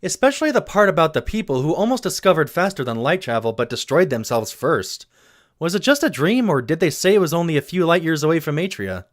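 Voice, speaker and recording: A man's voice: on the high side, big pitch swings, brisk. A man playing an easygoing, curious cargo ship captain with a casual, wry manner. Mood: sheepish.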